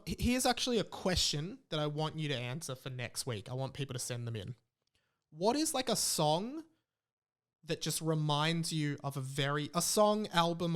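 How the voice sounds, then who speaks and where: A young man with an Australian accent hosts a podcast solo, talking in a casual, candid and rambling way. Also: mid-range voice. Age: young adult. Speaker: podcast host.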